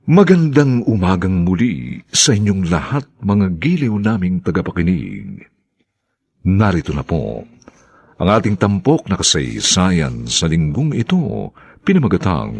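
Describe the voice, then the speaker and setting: A man with a low, creaky voice hosts a radio drama in a formal, scripted manner. His delivery is slow and highly animated, recorded close and dry. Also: dry recording. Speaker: radio drama host and announcer.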